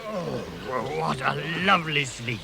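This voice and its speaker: High-voiced, food-mad fat dwarf. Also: male voice, animated, measured pace, creaky, a crowd in the background. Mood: dreamy, contented. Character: greedy, dreamy fat male dwarf, always hungry. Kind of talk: vintage radio drama acting. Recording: vintage radio drama, other voices behind.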